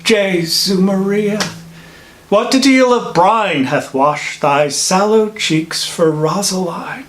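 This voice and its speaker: A man playing a wise old holy friar in a classical Shakespearean monologue, delivering verse in a deliberate, theatrical manner. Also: high-pitched, a little hiss or hum. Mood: astonished, chiding.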